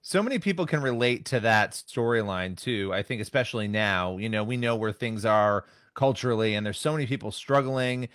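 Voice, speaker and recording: A man's voice: on the low side, fairly quick, few pauses, a bit bright, no room sound, studio quality. A man hosting a faith-based interview, affirming and enthusiastic with his guest. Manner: affirming, enthusiastic, many fillers. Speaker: interview host.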